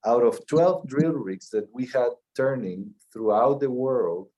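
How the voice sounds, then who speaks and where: A middle-aged man from Peru with a Peruvian Spanish accent, answering interview questions in a measured way, often restarting and repeating words. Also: mid-range voice, lively intonation, dark tone, muffled recording. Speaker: mining company CEO.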